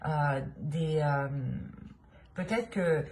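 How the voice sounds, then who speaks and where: A woman's voice: sits low, drawn-out words, a little mellow, slight background noise. A woman with a French accent giving a tarot reading, speaking hesitantly, with many fillers and restarts as she searches for her words. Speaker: tarot reader. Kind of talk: tarot card reading to camera.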